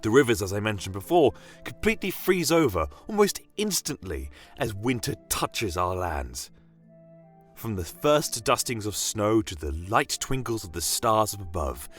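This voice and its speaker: A man playing a genial fantasy doctor and alchemist, telling tales of his world in a formal, florid, theatrical way. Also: lowish voice. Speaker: in-character narrator. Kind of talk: scripted in-character fantasy storytelling.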